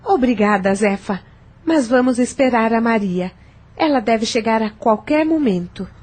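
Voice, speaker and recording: A woman playing a strict but concerned mother in an audio novel. Her high voice has a maternal, scolding edge. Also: animated, a little slow, a little mellow.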